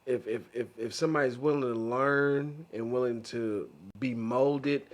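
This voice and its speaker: A man's voice: mid-range voice, a little slow. A man giving his opinion in a casual podcast panel chat.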